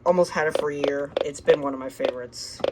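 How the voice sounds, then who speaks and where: An American woman casually showing a hobby collection, speaking in a halting, unscripted, plain way on an amateur home recording. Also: deep voice, heavy background noise. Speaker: radio collector presenting the collection. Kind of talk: casual hobby show-and-tell narration.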